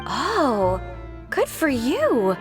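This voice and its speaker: A woman's voice: sits high, huge pitch swings, very slow, long reverb tail, music in the background, exaggerated voice. A woman playing an aloof, catty young female agent in a video game, with a sardonic drawl. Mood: bored, aloof. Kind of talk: English video game voice acting.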